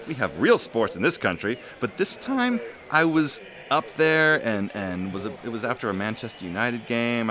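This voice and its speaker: A man's voice: medium pitch, fairly bright tone. A man playing a blunt, chatty soccer supporter in a casual audio drama. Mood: animated, complaining. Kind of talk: casual, naturalistic drama dialogue. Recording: busy crowd and traffic noise.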